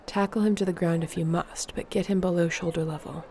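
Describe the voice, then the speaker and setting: A woman playing an earnest, determined fantasy sorceress in an intimate audio roleplay, speaking in a measured, softly formal way. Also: fairly low pitch, steady tone, a little hiss or hum, close to an everyday voice. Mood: earnest, resolute.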